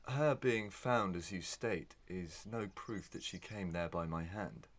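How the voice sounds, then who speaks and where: An actor playing a guilty, scheming villain in a Victorian audio melodrama, with formal, theatrical diction. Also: male voice, deep voice, unhurried. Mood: defensive, evasive. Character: guilty male villain, scheming, evasive, inwardly tormented.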